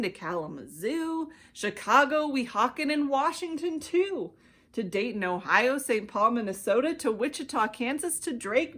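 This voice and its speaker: An American woman reading a rhyming children's storybook aloud as an expressive narrator. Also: a little high. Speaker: children's ministry director reading stories.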